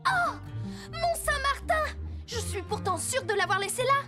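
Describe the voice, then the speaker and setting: A woman voicing a cheerful teenage girl at a horse ranch in a cartoon dub, talking in a lively, expressive way. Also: very high-pitched, normal speed, over loud music.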